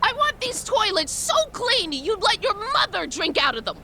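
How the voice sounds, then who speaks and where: A woman's voice: very high-pitched, very animated, moderate pace, pushed and bright, slight background noise, heavily acted. An actress playing a bossy woman supervisor in a game, scolding and demanding. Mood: exasperated scolding. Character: bossy, scolding female care-home supervisor. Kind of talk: English game dub acting.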